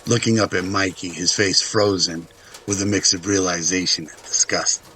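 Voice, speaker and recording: A man's voice: low-pitched, steady tone, moderate pace, bright, forceful tone. A man reading scripted dramatic narration as the narrator of a story.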